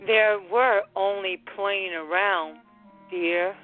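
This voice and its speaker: Over a narrow, phone-like recording with faint music, a woman plays a middle-aged wife in a radio drama. She is patient and gently firm, speaking slowly. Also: mid-range voice, steady tone, natural voice. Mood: soothing. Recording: muffled phone-like audio.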